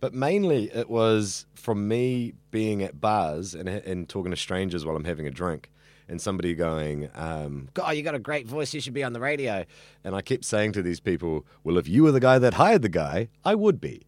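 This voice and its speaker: Deep-voiced New Zealand man in his thirties. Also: animated, normal speed, dry recording. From Auckland, New Zealand. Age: 30s. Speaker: radio student being interviewed. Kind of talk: casual interview chat.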